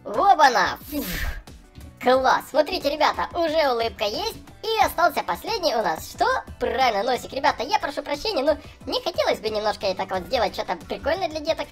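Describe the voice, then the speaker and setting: A man's voice: extremely high pitch, big pitch swings, brisk. A man voicing a cheerful young clown for small children, gushing and chatty as he narrates gameplay. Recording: voice over background music. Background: music.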